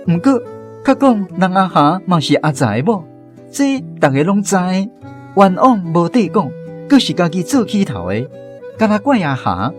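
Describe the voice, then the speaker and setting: A man's voice: sits high, sing-song, unhurried, soft background music. A man with a Taiwanese accent, delivering scripted narration as the host of a radio drama programme.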